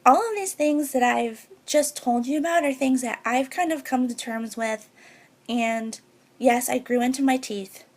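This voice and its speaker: High, girlish-voiced young woman with fry. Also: measured pace. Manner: earnest and heartfelt, a bit hesitant. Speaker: beauty vlogger. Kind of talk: personal talk to camera.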